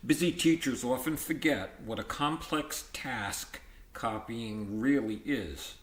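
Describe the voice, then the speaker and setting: A man's voice: medium pitch, echoey room. A man reading a textbook aloud in an explanatory, teacherly manner, breaking off for informal asides and check-ins. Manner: explanatory, teacherly, checks in with "okay" and "all right". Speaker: audiobook reader adding his own explanations.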